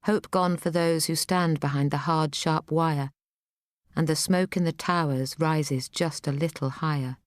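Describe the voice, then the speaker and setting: A woman reading a poem aloud in a grave, deliberate recital style. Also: sits low, fairly even intonation, no echo, studio quality. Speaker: poetry reader.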